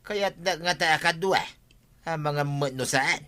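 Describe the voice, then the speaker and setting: An actor playing a reluctant village husband with a grumbling, questioning manner in a radio comedy drama. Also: male voice, fairly high pitch, measured pace, pushed and bright.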